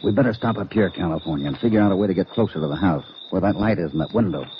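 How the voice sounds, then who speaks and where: An actor playing a steady, commanding cowboy hero with terse, dry talk in an old Western radio drama. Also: male voice, low-pitched, racing, vocal fry. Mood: cautious. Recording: muffled old radio recording, faint noise.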